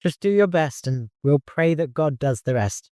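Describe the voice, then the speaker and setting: A man voicing a kindly father and caravan leader in an audiobook, courteous, gently humorous and easily flustered. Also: a little high, lively intonation, normal speed, dry recording, clean studio sound, close to an everyday voice.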